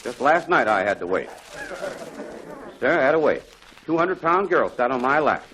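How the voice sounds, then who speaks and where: A jovial quizmaster in 1940s radio style. He banters in a lively, varied melody with corny showman's timing. Faint noise sits on the old recording. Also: mid-range voice, normal speed, rough recording. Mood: bantering. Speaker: quiz show host. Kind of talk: 1940s radio quiz show patter.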